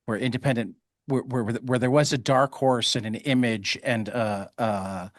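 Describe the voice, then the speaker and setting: A male podcast host asks questions in a chatty, curious way and tends to wander off on tangents. His voice has a middling pitch and sounds dry and close, with no room around it. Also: fairly even intonation, moderate pace, dry recording. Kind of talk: casual interview talk.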